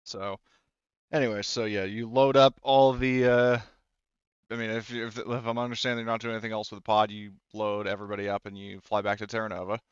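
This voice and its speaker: A man's voice: lowish voice, level tone, a little fast, smooth, no echo, dull audio. A man narrating as game master in a casual tabletop session, explaining things in a rambling, hesitant way.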